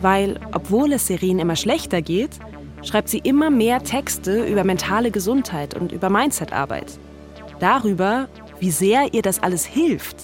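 A woman's voice: mid-range voice, expressive, moderate pace, faint music behind. A woman hosting a podcast, telling a scripted story in a conversational way. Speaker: podcast host and narrator.

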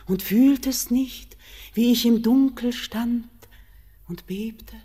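Slow, dark-toned mountain queen, seductive and commanding, in a long reverb. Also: fairly high pitch. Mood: entreating. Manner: slow, solemn, sinking to hushed whispers. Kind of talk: classic German radio play acting.

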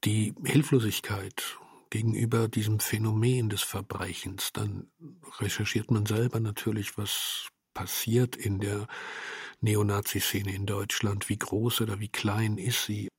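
A man reflects in an interview with a husky, somewhat low voice. The recording is dry, with hardly any room around him. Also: little pitch movement, unhurried, no room sound. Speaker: radio drama maker.

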